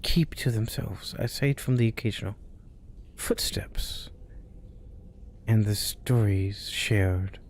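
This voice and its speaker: A man hosting a spooky story show, reading tales aloud in a hushed voice that often drops to a whisper. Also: fairly low pitch, takes it slowly, long pauses. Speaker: storyteller host.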